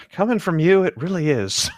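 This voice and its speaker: A man's voice: on the high side, very animated, moderate pace, no echo. A man co-hosting a comedic read-along, reading aloud casually with dry, teasing asides.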